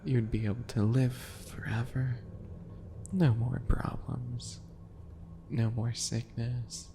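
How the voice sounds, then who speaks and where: A man playing a calculating, obsessive potion-maker in an ASMR roleplay, with a deliberate, mocking, theatrical delivery. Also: medium pitch, level tone, vocal fry, noisy background. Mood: sinister, coaxing.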